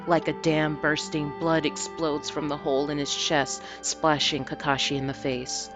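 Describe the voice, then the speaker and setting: A woman's voice: low-pitched, little pitch movement, moderate pace, over music. A woman reading story narration aloud in a dramatic, storytelling style.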